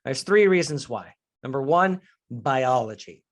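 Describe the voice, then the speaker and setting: A man in his forties from Mexico speaks as a leadership trainer in a live online session. His delivery is earnest and candid, and he addresses the listeners directly. His voice sits at an ordinary middle pitch for a man, and it comes through a video-call microphone. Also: expressive, a little slow. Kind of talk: live online workshop presentation.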